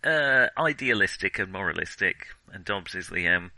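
A man's voice: deep voice, animated, moderate pace, sharp tone, dry recording. A man co-hosting a film podcast, talking casually and hesitantly as he corrects himself.

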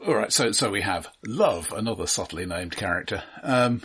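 A man's voice: fairly low pitch, lively intonation, normal speed, a bit bright, breathy edge. A man co-hosting a casual film critique, making his points in a wry, sardonic, pointed way. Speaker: film podcast co-host.

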